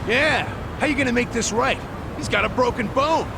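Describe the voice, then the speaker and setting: A man's voice: very high-pitched, moderate pace, gravelly, noisy background. An actor playing a pushy, confrontational male thug sidekick in a video game. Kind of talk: English game dub acting.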